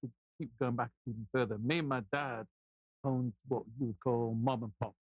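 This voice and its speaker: A man's voice: mid-range voice, fairly even intonation, normal speed, husky, no echo, lo-fi sound. A man in his fifties with an English accent, a podcast guest telling stories in a loose, rambling way. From England. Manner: rambling, anecdotal, many restarts. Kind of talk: casual storytelling interview.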